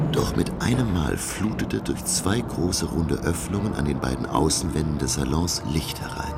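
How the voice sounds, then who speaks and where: An actor playing a scholarly professor who narrates his undersea voyage in a gravelly voice, musing in literary language. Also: very deep voice, heavily acted. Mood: hushed suspense. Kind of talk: German radio play narration. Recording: music under the voice. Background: music.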